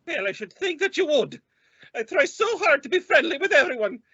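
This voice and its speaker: A woman's voice: high voice, big pitch swings, a little fast, fairly bright tone, no room sound. A woman playing a feisty, vain, easily offended landlady with a blustering manner in a radio comedy. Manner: blustering, indignant, swooping. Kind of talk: old-time radio comedy acting. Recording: dull lo-fi recording.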